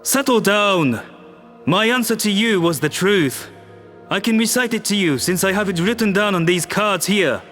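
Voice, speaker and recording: A composed male world leader lays out his case in a measured, persuasive manner, as a man reads him in an audio drama. His voice sits fairly high, and faint music plays underneath. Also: expressive, a bit bright. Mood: firm. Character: calm firm human male world leader.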